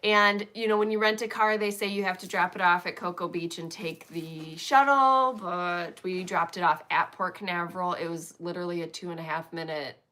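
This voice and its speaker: A chatty woman hosting a casual live stream into a phone camera. Her voice is bright and lively, and she laughs easily as she greets viewers and tells travel stories. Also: on the low side, normal speed, hardly any pauses. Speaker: co-host of a stitching live stream.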